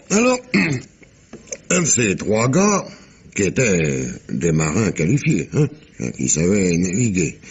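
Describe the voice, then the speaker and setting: An actor playing a rough, tipsy sailor spinning a sea yarn in slangy, rambling, halting speech, in 1950s French radio mystery style. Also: male voice, mid-range voice, darkish tone, exaggerated voice. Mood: evasive, confiding.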